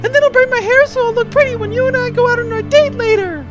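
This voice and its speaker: A woman's voice: very high voice, fast, over loud music. An actress playing a flighty, lovestruck young female space pirate in a comedic sci-fi audio drama, gushing and girlish. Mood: shrill, defiant.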